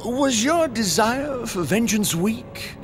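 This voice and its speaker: Sly, sinister servant of death, slow and theatrical. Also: male voice, very high voice, lively intonation, music in the background, exaggerated voice. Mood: mocking, gloating. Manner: archaic, theatrical, mocking. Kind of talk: theatrical video game voice acting.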